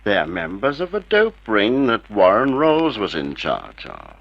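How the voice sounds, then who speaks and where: An actor playing a brusque, insistent male police officer in an old-time radio crime drama, with a gravelly, husky voice. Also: on the low side, huge pitch swings, a little slow, full character voice. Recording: dull vintage radio recording.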